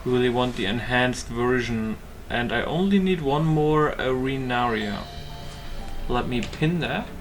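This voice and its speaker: A man's voice: medium pitch, unhurried. A man giving casual gameplay commentary, thinking aloud as he hosts a gaming video. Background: game music.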